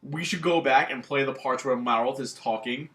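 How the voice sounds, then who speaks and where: A man's voice: mid-range voice, normal speed, a bit bright. Rambling man in an echoey room. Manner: rambling, many fillers like "um" and "like". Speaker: gaming channel co-host. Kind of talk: casual chat about video games.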